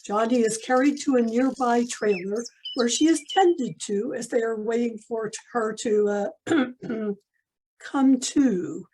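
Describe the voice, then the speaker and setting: Female narrator for radio-style drama: wry, unhurried, hesitant informal delivery. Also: fairly high pitch, dark tone, slightly rough, poor audio. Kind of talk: informal live narration between scenes.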